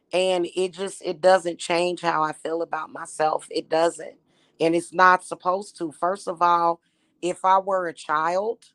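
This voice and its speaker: A woman in her thirties, a spiritual YouTuber talking casually to her viewers, her voice slightly hoarse and her talk aggrieved and repetitive. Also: lowish voice, no room sound. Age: 30s. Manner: aggrieved and repetitive, with frequent fillers.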